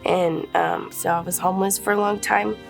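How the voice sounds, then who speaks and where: Halting, gravelly young woman's testimony. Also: on the low side, steady tone, faint music behind. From Idaho, USA. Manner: hesitant, halting, many fillers. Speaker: interviewee. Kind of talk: personal testimony.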